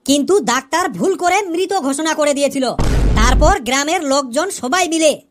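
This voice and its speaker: Cheeky high-pitched schoolboy. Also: animated, moderate pace, background noise. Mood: earnest storytelling. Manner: cheeky, argumentative, long-winded. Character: cheeky schoolboy pupil with absurd smart-aleck answers. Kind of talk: comedy cartoon dialogue.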